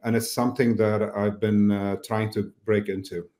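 A middle-aged man talks through a webcam from his office, his voice low and warm in tone. He answers questions at an unhurried pace, stopping often on fillers and restarting his sentences. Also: level tone. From Lebanon. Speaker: mortgage business owner, guest. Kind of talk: casual interview over video call. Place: indoor office. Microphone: video call webcam mic.

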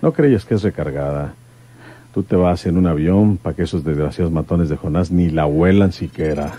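Folksy northern mexican ranch detective, very low dark husky voice, radionovela. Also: male voice, normal speed. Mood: reassuring. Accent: rural northern Mexican. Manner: folksy country talk. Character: rustic male country detective, plain-spoken, protective.

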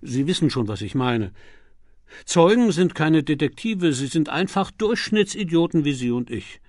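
A man's voice: on the high side, very animated, normal speed, a little mellow, dry recording, voice pushed up. Flustered, self-doubting young male student played by a male reader, hesitant, audiobook dialogue, close dry sound. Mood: self-deprecating. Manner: hesitant, exclaiming, thinking aloud.

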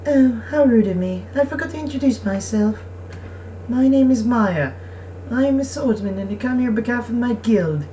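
A woman's voice: on the high side, animated, moderate pace, warm tone, roomy sound, close to an everyday voice. A woman voicing a formal, haughty young noble swordswoman from a visual novel game. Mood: polite. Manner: formal, prim, earnest. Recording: distant mic, faint background noise.

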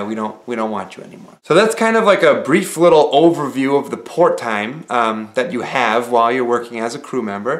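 A man in his thirties chats straight to camera from a small room at home, explaining things in an easygoing way and leaning on "uh" and "um". His voice sits at an ordinary middle pitch. The camera mic catches a good deal of room echo around him. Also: a bit bright. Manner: conversational, explanatory, frequent "uh" and "um" fillers. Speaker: vlogger and former cruise ship entertainer. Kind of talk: casual advice vlog to camera.

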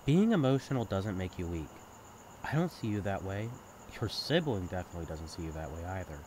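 A man's voice: low voice, sing-song, brisk, a little mellow, a little hiss or hum. A young man acting in an intimate audio roleplay as a sibling's best friend, talking candidly and casually in a caring, teasing way. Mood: sincere, thoughtful. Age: young adult. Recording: night ambience with chirping crickets. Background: crickets and insects, outdoor ambience.

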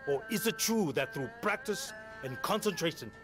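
An actor playing a calm, teacherly older male martial arts master who probes with questions. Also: fairly high pitch, moderate pace, close to an everyday voice. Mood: probing. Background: game score music.

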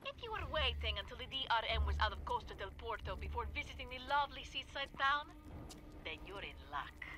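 A rebel radio newsreader for a video game. Her high voice bounces along with chirpy, sardonic flair. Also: very animated, fairly quick, sharp tone. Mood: upbeat, wry. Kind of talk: radio news announcement.

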